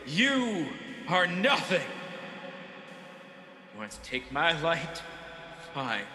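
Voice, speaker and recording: A man's voice: fairly high pitch, very animated, sharp tone, faint music behind, heavily acted. A man playing a bitter, vengeful fallen angel who schemes as king of demons, theatrical and menacing in a radio play. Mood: vengeful fury. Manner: theatrical, menacing, drawn-out emphasis. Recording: echoey, reverberant hall effect.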